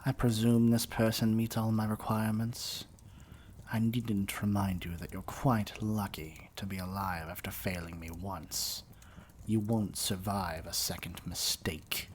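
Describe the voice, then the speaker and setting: A man's voice: lowish voice, level tone, a little slow, slight background noise, close to an everyday voice. A man acting as a haughty, menacing evil sorcerer king in an ASMR roleplay, speaking in a pompous, theatrical and condescending way. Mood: cold, menacing.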